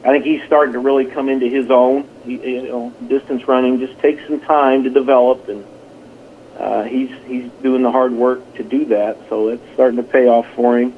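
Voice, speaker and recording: A man's voice: medium pitch, flat delivery, moderate pace. A plain-spoken, folksy man, a track coach, giving a casual interview over the telephone. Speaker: high school track and field coach. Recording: tinny phone line with noise.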